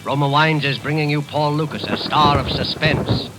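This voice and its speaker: A man's voice: a little high, fairly even intonation, moderate pace, poor audio. A man giving a formal, ominous scripted introduction as announcer of a 1940s radio show. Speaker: program announcer. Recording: old radio broadcast, music behind.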